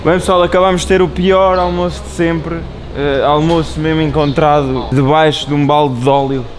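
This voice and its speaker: Young Portuguese man, brash vlogger voice, slightly high, loud background noise. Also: steady tone, moderate pace. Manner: brash, swearing, joking with friends. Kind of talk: casual road trip vlog. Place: car, service stations and apartment. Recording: noisy on-camera sound.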